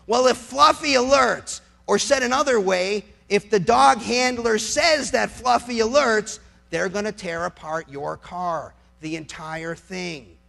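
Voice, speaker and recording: A man's voice: high voice, a little slow, vocal fry, bright, forceful tone. An American man giving an impassioned political speech to an audience, emphatic and dramatic, punctuated by rhetorical questions. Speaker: public speaker, lawyer.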